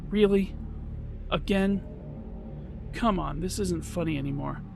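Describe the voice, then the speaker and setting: A man's voice: high voice, a little slow, creaky, over music. A man hosting a let's play of a horror visual novel, reading it aloud and adding wry, exasperated jokey asides. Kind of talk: horror game commentary mixed with dramatic read-aloud.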